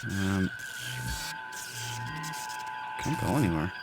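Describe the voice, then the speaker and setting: A man's voice: deep voice, lively intonation, drawn-out words, very noisy. A man hosting a casual game playthrough, muttering to himself and playfully repeating phrases. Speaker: let's play host. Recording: loud game ambience behind. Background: video game sound.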